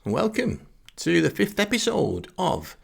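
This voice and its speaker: A man's voice: high voice, huge pitch swings, measured pace, vocal fry, over loud music. A middle-aged man with a Lancashire accent from northern England, hosting a podcast with a wry, self-deprecating, grumbling comic monologue. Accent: Northern English, Lancashire. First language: English. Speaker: podcast host. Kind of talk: comic first-person monologue.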